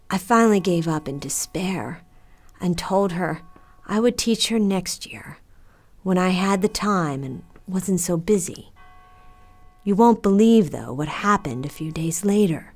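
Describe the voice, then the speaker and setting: A woman as slow-spoken, dramatic mother. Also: lowish voice, darkish tone. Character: stern, busy mother, later a reflective nun. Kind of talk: audio drama acting.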